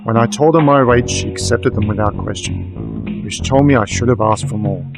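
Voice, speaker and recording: A man acts as a streetwise private detective in a radio drama. He is dry and laconic, with a slightly low voice and plain colloquial phrasing. Guitar music plays clearly behind him. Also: moderate pace, rough recording. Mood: wry, reflective. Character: wry, streetwise male private investigator narrating his case. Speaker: actor in radio play. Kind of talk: radio play dialogue and noir detective narration. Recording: music under the voice.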